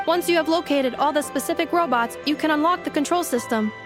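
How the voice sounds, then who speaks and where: Female android, acted by a woman: high, courteous, logical, clipped formal delivery, game dialogue with music. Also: quick pace, natural voice. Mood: formal, measured. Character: polite, logical female robot assistant, calm and precise. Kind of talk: video game voice acting. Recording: music behind the voice.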